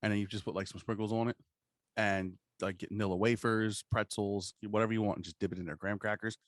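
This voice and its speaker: Rambling American man, lowish voice, dry sound. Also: steady tone, fast, no echo. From New Jersey, USA. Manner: rambling, profane, slangy. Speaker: podcast co-host. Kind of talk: casual comedic chat.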